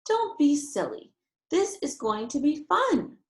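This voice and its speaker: A woman's voice: high voice, big pitch swings, slow, pitched above the performer's own voice, exaggerated voice. A woman voicing a young girl's mother in a storybook, affectionate and lightly exasperated, teasing and reassuring.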